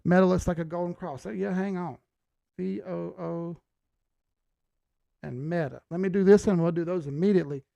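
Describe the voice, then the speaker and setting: A man with a slightly high voice and a lot of fry in it. He talks like a livestream host, loose and slangy, thinking aloud as he goes. The sound is dry and close. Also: steady tone, moderate pace, pauses often, mellow, no echo, dull audio. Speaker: host of a live market analysis stream. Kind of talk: casual live chart commentary.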